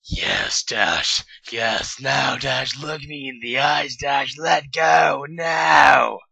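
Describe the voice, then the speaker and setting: Man doing a young unicorn mare, earnest and studious, voice pushed up and bright. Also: medium pitch, steady tone, measured pace, vocal fry, husky, no echo, voice pushed up, full character voice. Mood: urgent. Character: bookish young female unicorn pony, earnest.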